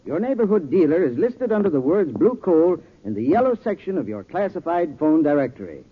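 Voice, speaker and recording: A man's voice: on the high side, sing-song, fairly quick, slightly rough. An American man giving a folksy, instructive scripted talk as a 1940s radio advertising expert. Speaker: advertising spokesman, home heating expert. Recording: tinny 1940s radio recording.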